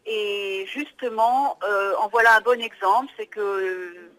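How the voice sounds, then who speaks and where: Woman author explaining stuff, bright tone, stops and starts a lot. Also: mid-range voice, low-quality recording. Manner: thoughtful, halting with many fillers. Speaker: author and historian. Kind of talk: interview answers.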